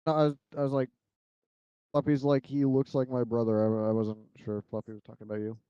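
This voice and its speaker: A man's voice: medium pitch, completely flat delivery, fast, dark tone, clean tone, no room sound, lo-fi sound. A man co-hosting a livestream, talking casually while reacting to a video. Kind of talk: casual chat over a reaction stream.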